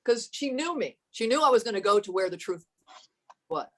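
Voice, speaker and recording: A woman with a fairly low voice telling her personal story as a podcast guest, thoughtful and earnest, often restarting her sentences. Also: lively intonation. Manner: thoughtful, halting, with many restarts.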